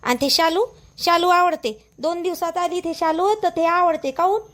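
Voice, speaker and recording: A woman's voice: extremely high pitch, normal speed, a bit bright. A woman voicing an anxious, aggrieved young village wife in an amateur cartoon, pleading and whining in a Vidarbha Marathi accent. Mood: aggrieved, protesting. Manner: pleading, whining, repetitive protest. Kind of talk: amateur cartoon dialogue in rural dialect.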